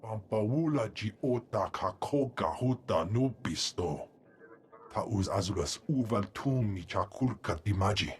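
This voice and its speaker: An actor playing an alien in a video game, speaking clipped strings of an invented tongue. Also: male voice, fairly low pitch, animated, measured pace, slightly rough, exaggerated voice. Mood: solemn, alien. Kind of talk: video game creature acting. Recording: faint noisy game ambience.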